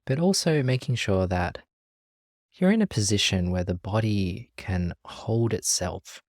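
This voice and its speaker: A meditation teacher leading a guided practice in a gentle, calm, measured way with long pauses. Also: sits low, dry recording, clean studio sound.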